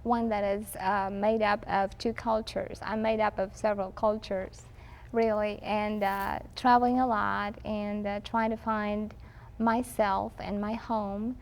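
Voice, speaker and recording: A woman in her twenties from Romania, a university professor answering interview questions in a measured way, pausing often with "um" and "uh". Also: medium pitch, little pitch movement. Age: 20s. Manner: measured, frequent "um" and "uh" pauses. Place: campus film set, indoors.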